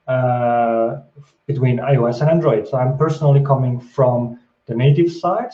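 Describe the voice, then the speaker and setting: A man from Switzerland giving a technical conference talk, explaining steadily with fillers and repeated words. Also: mid-range voice. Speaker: conference speaker. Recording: distant, echoey, muffled remote call audio.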